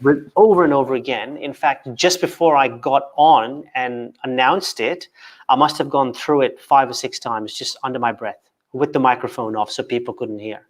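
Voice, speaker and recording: Kenyan-raised guy on a video call, stumbles and repeats words a lot, mid pitch. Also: normal speed. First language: not English. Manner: repeats words, restarts often, stutters. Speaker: guest. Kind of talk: casual video call interview.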